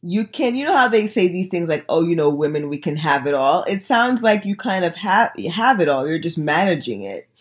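A woman hosts a casual interview podcast, with a lower voice that swings widely in pitch. She is friendly and laughs easily with her guest. The sound is dry and close. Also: sing-song, normal speed, hardly any pauses, husky, no echo. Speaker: podcast host.

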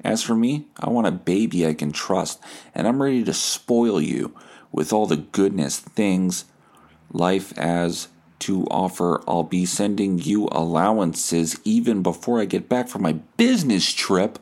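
A man's voice: sits low, a little slow, darkish tone. A man voicing a doting, possessive woman of forty-nine, a coaxing online sugar mommy, as he reads out her messages in a comedy podcast.

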